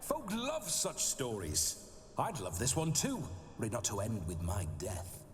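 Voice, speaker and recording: A man's voice: fairly low pitch, huge pitch swings, a little slow. An actor playing the jovial, boastful ghost of a hard-drinking nobleman brawler in a video game. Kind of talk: video game cutscene acting. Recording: game audio with reverb and music.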